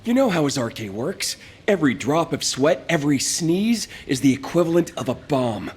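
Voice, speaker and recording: A man's voice: on the high side, very animated, moderate pace, slightly rough. An actor playing a scheming, wisecracking young male fighter in a video game cutscene. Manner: joking, scheming.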